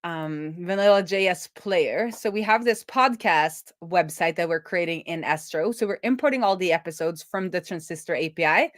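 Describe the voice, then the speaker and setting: A woman's voice: lowish voice, normal speed, hardly any pauses, no echo, studio quality. A middle-aged woman chatting casually on a coding livestream as its host, thinking aloud in an informal way. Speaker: live coding streamer and host.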